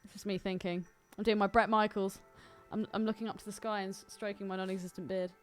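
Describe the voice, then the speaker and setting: A woman's voice: medium pitch, little pitch movement, quick pace, soft background music. A woman with a British accent co-hosting a casual comedic podcast, talking in a blunt, cheeky way. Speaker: podcast co-host.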